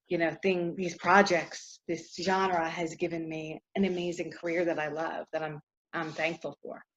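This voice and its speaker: A middle-aged actress answering interview questions in a casual, chatty way, full of fillers and often trailing off softly. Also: female voice, on the low side, highs cut off.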